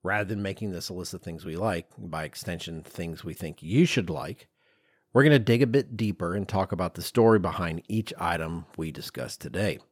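A middle-aged man co-hosting a podcast in a conversational, animated and emphatic way, with some self-deprecation. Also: sits low, moderate pace, breathy edge, dry recording, studio quality. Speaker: podcast co-host.

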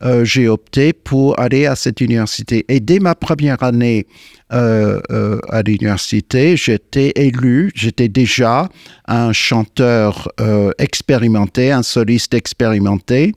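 An older American man, a singer and guest, answering in French in a slow, hesitant way with many fillers and restarts. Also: medium pitch, darkish tone, no echo, studio quality. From United States. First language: English. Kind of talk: radio interview. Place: radio studio.

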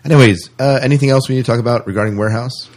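A man's voice: mid-range voice, racing. A man hosting a podcast in casual, unscripted chat about sci-fi news, rambling and thinking aloud as he goes. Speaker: podcast host.

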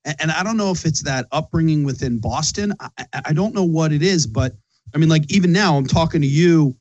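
A man's voice: on the high side, fairly quick, darkish tone, muffled recording. Rambling Philly-accented male interviewer. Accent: Philadelphia. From Philadelphia. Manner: rambling, emphatic, frequent restarts and swearing. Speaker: podcast host. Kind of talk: casual interview chat.

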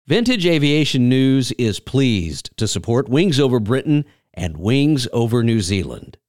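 Lively-pitched male announcer, dry recording. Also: a little slow, no echo. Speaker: sponsor announcer. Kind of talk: scripted promotional narration.

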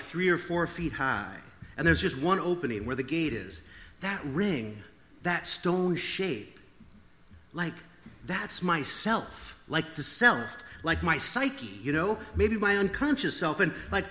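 Questioning preacher in a reverberant hall. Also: male voice, a little high, a bit bright. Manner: searching, questioning, with frequent "like" fillers. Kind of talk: reflective sermon.

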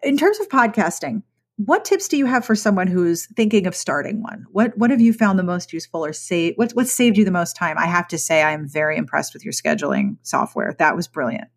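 A woman hosting a podcast interview in a casual, chatty way, laughing often and chiming in with quick agreement. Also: lowish voice, very animated. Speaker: podcast host.

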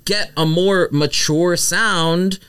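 A man's voice: high voice, steady tone, slow, fairly bright tone, clean tone, dry recording. A man co-hosting a podcast, sharing opinions in casual chat with lots of "like" and "I mean". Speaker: podcast co-host.

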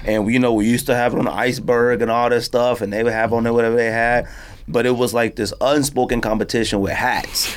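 An American man hosting a podcast in casual chat, telling stories in a slangy, animated way. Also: medium pitch, fast talker, a little hiss or hum. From United States. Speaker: podcast host. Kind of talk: casual chat about sneakers and hats. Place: podcast studio.

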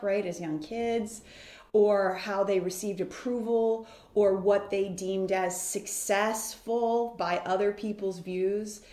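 A woman's voice: medium pitch, darkish tone. A woman from the United States, a guest in an interview, telling her story in an earnest, flowing way. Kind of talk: reflective interview talk. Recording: roomy echo.

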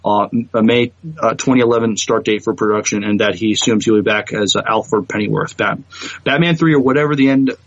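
A man's voice: on the low side, brisk, no echo, highs cut off. A man co-hosting a podcast, chatting casually about entertainment news and often thinking aloud in a hesitant way. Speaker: podcast co-host.

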